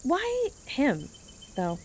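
A woman's voice: high-pitched, huge pitch swings, drawn-out words, darkish tone, a little hiss or hum, heavily acted. An actress playing a mocking young woman who eggs on gossip with sarcastic, exaggerated teasing, in a naturalistic audio drama. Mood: incredulous.

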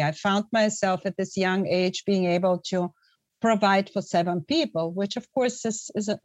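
A woman's voice: medium pitch, a little mellow, no echo. Earnest middle-aged woman, dry sound. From Bulgaria. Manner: reflective, earnest, restarts words. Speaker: guest. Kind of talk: conversational storytelling.

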